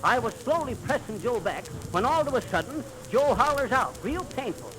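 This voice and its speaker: A man's voice: extremely high pitch, very animated, normal speed. An American man playing a boastful, folksy teller of tall fishing tales in 1930s radio comedy style, with theatrical, colloquial delivery. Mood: dramatic, swaggering. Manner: bragging, theatrical storytelling. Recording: noisy vintage 1930s radio recording.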